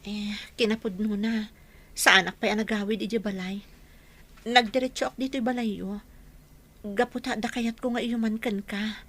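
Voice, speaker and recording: A woman's voice: mid-range voice, steady tone, normal speed, a bit bright. A woman playing a troubled wife who confides in her friend in a radio drama. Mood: troubled, confiding.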